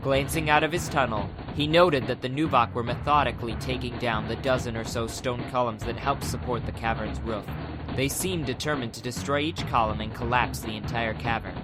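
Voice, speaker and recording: Male narrator for a dramatized adventure audiobook, mid-pitched, with music clearly underneath. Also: fairly even intonation, normal speed.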